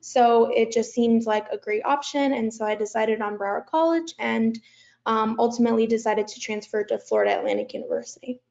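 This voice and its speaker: A woman speaks casually as a student panelist and drops frequent ums. Her fairly high voice has a gravelly fry. The audio is dull and lo-fi. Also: sing-song, a little fast, a little mellow. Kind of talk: panel discussion answer.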